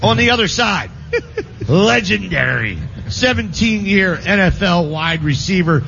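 A man's voice: sits high, lively intonation, unhurried, creaky, fairly bright tone. A man hosting a sports radio show, introducing the panel with jokey nicknames in casual banter. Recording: dull lo-fi broadcast with faint noise.

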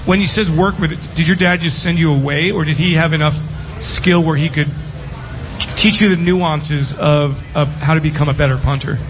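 Man, high voice, inquisitive radio host, halting delivery, dull lo-fi sound. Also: fairly even intonation. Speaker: sports radio show host. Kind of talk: casual sports interview. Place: live show at a casino. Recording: dull lo-fi broadcast over music.

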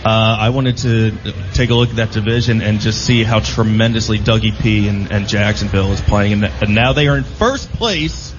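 A man's voice: fairly low pitch, moderate pace. A man co-hosting a sports show, talking casually about the games. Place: live radio broadcast at a casino. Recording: dull live pickup with clear background noise.

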